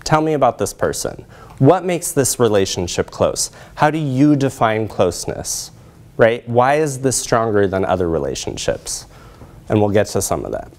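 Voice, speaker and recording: Man presenting research, measured delivery. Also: medium pitch, big pitch swings. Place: lecture hall, indoors.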